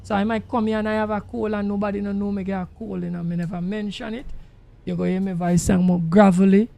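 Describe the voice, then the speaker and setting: A man with a Jamaican accent and a gravelly voice, chatting casually to livestream viewers in an emphatic, repetitive way. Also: little pitch movement, fast talker, mellow, highs cut off. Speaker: game livestreamer. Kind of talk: casual livestream chat while driving a truck sim. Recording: noisy, engine rumble behind voice. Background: truck engine sounds from the game.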